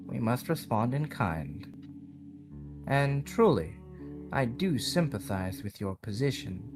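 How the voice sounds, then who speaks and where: A man voicing a solemn, cryptic and sympathetic mysterious woman in an audio drama, speaking in formal, measured phrases. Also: mid-range voice. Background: guitar music.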